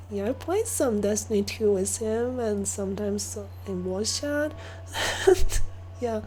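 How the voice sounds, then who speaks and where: A woman's voice: mid-range voice, lively intonation, takes it slowly, pauses often, slight background noise. A young woman with a Chinese accent chatting casually while streaming a game, rambling with lots of fillers. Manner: rambling, many fillers and soft laughs.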